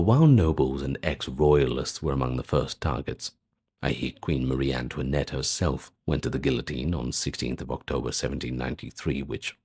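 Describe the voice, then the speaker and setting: A man narrating a scripted history lecture in an ASMR style, measured and explanatory, with brief asides. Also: very deep voice, vocal fry, darkish tone, breathy edge.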